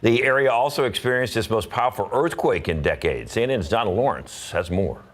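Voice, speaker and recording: A man's voice: on the low side, lively intonation, brisk. A man with an American accent reading scripted television news as a morning anchor.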